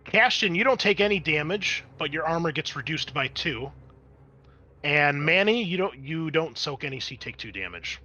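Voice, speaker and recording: A man's voice: on the high side, expressive, normal speed, pushed and bright, highs cut off. A man running a tabletop roleplaying game as game master, switching between dramatic scene-setting and casual rules talk.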